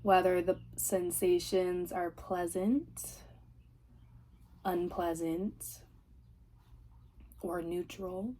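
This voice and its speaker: A woman leading a guided meditation as a teacher, giving gentle, soothing, unhurried instructions. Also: on the low side, fairly even intonation. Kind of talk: guided body scan meditation.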